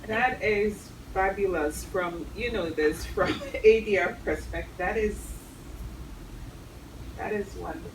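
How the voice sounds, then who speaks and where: A woman in a workshop answers in a measured, appreciative way. She is caught at a distance, so the room echoes around her. Constant background noise runs underneath. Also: medium pitch. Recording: echoey room heard from afar, noisy.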